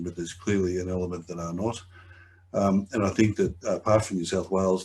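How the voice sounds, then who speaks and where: Deep-voiced man, slightly croaky. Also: darkish tone. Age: middle-aged. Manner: hesitant, repeats words, many ums. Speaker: webinar presenter. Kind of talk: conversational presentation.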